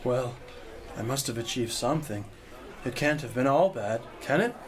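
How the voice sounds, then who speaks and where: A man's voice: medium pitch, moderate pace, crowd noise, natural voice. An actor playing an impulsive young knight in a theatrical drama, earnest and questioning, a man's voice. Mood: puzzled, defensive. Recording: music under the voice.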